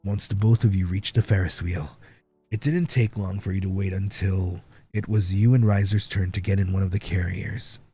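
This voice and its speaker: A man livestreaming in a playful, casual way, chatting and reading stories aloud with a husky voice. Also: deep voice, fairly even intonation, moderate pace, dark tone. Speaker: livestreamer, virtual streamer. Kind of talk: casual livestream chat and fan fiction reading. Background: background music.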